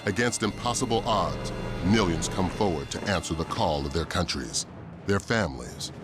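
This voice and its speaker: Deep-ish male narrator, grave dramatic documentary read, music behind. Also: lively intonation, normal speed. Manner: grave, portentous.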